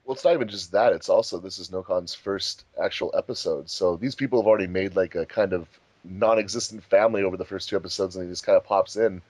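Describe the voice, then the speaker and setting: A man's voice: low-pitched, quick pace, few pauses, darkish tone, no room sound. A man hosting a fandub commentary track, chatting casually about how the episode was made. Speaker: fandub producer and commentary host.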